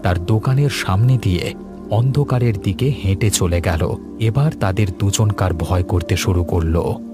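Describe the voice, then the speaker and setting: A man's voice: deep voice, normal speed, music in the background. A man giving dramatic scripted narration for a cartoon ghost story.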